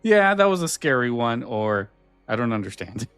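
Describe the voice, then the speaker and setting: A man hosting a podcast in casual chat, halting a little and correcting himself as he goes. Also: medium pitch, sing-song, a bit bright, clear, resonant tone, soft background music. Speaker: podcast host. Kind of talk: casual chat and show sign-off.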